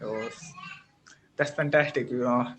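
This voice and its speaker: A young man from India, speaking natively and practicing in a halting, hesitant way on a video call. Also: mid-range voice, fairly even intonation, fairly bright tone. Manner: hesitant, halting learner English with frequent laughs. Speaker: English learner, channel host. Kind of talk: casual conversation practice. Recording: faint background noise.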